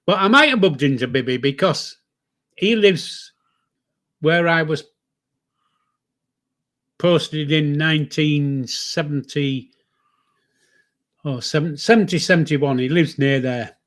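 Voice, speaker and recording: An older man from East Yorkshire with a softened Yorkshire accent, chatting and rambling on a livestream with a friend. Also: fairly high pitch, slow pace, pauses often. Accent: Yorkshire, softened by years in the south. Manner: chatty, rambling, laughs often, reads out viewer names. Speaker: livestream co-host. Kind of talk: casual livestream chat.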